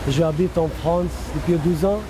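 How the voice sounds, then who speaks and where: A man in his twenties from Afghanistan, with an Afghan accent, giving earnest testimony and halting as he searches for words. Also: fairly high pitch, steady tone. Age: 20s. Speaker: interviewee at a press conference. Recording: clear outdoor ambient noise.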